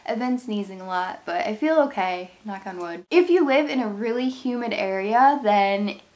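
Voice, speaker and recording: A woman's voice: medium pitch, lively intonation, normal speed, a little mellow. A young woman in her twenties from Ohio, stuffed up and sniffly from a cold, chatting casually through a craft product review in her bedroom. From Ohio, USA. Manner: chatty, rambling, upbeat. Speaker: craft channel host. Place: bedroom, indoors.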